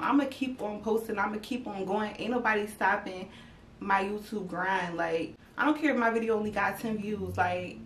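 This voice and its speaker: A woman's voice: mid-range voice, far from the mic, slight background noise. Stuffy-sounding young woman vlogging in an echoey room. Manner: rambling, filler-heavy, talks straight to viewers. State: slightly congested, stuffy. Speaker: YouTube vlogger. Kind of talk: casual chat to camera.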